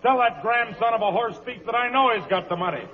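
A man's voice: very high voice, animated, quick pace. A man playing an angry, greedy gunrunner villain in a vintage radio western. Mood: angry, demanding. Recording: tinny old radio, reverberant, crowd noise.

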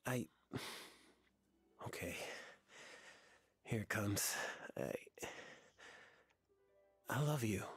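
Young boyfriend game character, playful, earnest, animated pitch, casual delivery. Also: male voice, husky, dry recording, soft background music, exaggerated voice. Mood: earnest, tender. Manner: casual, halting when sentimental. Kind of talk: video game voice acting.

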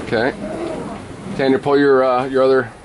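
Casual sailing vlogger over loud wind. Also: male voice, mid-range voice, moderate pace. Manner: relaxed, talks while steering and giving crew instructions. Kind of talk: casual vlog narration. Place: sailboat cockpit and docks outdoors. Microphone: handheld camera at arm's length. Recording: loud wind and boat noise.

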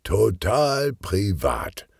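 A man acting a slow, deep, genial detective chief. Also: sing-song, vocal fry, husky, dry recording, studio quality, exaggerated voice. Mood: good-humoured. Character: genial male police detective, portly, cheerful, teasing chief. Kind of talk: children's audiobook character reading.

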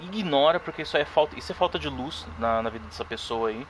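A man's voice: mid-range voice, fast, a little hiss or hum, poor audio. A young Brazilian man streaming a horror game, chatting casually with viewers and thanking followers. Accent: Brazilian Portuguese. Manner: chatty, thanks followers, sudden excited yelps. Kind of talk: casual live game commentary.

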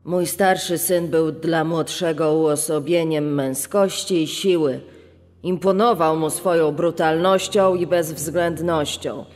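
Slow, resigned parent played by a man. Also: on the high side, fairly even intonation, faint music behind. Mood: sombre resignation. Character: aggrieved parent of two criminal sons, resigned. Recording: long reverb on the voice.

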